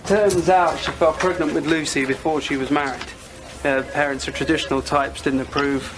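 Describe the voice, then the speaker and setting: A man's voice: a little high, steady tone, moderate pace, natural voice, rough recording. A man playing a youthful, earnest detective reporting facts in an audio drama. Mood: earnest, hesitant. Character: baby-faced young male detective, earnest, reporting facts. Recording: music behind the voice.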